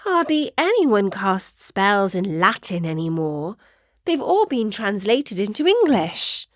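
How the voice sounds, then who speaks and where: Woman as protesting young witch schoolgirl, swooping pitch. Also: sing-song, slow pace, dry recording, clean studio sound. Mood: pleading. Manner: pleading, incredulous, emphatic.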